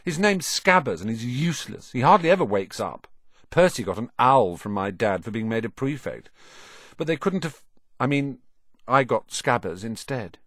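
A man's voice: medium pitch, very animated, moderate pace, a bit bright, dry recording, clean studio sound. A man voicing an eager, self-deprecating young boy wizard from a big family, grumbling and exclaiming as audiobook dialogue. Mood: gloomy, grumbling.

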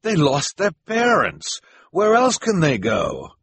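A man's voice: deep voice, expressive, a little slow. A man voicing a mild, conciliatory husband with an apologetic, pleading manner.

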